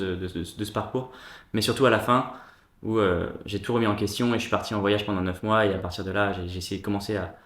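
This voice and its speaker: A man's voice: on the low side, fairly bright tone. A man hosting a casual round-table chat, hesitant, with many fillers and restarts. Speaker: host. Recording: echoey room.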